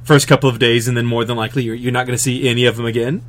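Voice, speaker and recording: Rambling, easygoing man telling a story. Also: medium pitch. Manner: relaxed, rambling, with lots of fillers and quick asides. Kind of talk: casual storytelling chat.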